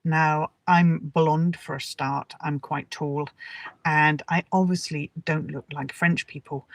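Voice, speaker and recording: A middle-aged woman with an English accent teaching in a relaxed, chatty and encouraging way, speaking directly to her listeners. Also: low voice, slow. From England. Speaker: English teacher hosting a live lesson. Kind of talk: relaxed, chatty livestream talk with personal anecdotes. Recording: roomy echo, distant from the mic.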